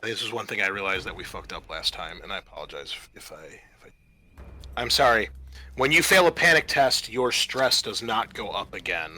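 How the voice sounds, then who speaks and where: A man acting as game master, halting and informal as he explains rules and narrates a tabletop roleplaying game. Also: lowish voice, expressive, sharp tone. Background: music.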